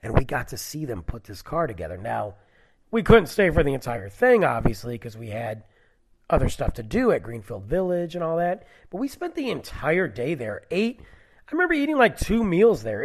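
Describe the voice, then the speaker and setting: A man's voice: on the high side, very animated, normal speed, slightly rough. A man in his forties, stuffy from a cold, chatting casually on his own and rambling through stories with lots of fillers. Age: 40s. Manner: rambling, digressive, many fillers. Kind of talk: casual solo chat.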